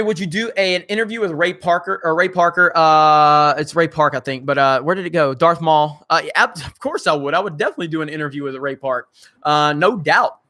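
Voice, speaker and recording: A man's voice: a little high, sharp tone. A man with a crackly voice hosting a casual livestream, reading viewer comments and answering in a blunt, joking way with some swearing. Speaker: channel host.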